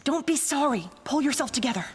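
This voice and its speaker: A woman's voice: sits high, animated, fast talker, background noise. An actress playing a caring, practical young woman in a radio play, speaking in a firm, reassuring way. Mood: worried. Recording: music under the voice. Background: music soundtrack.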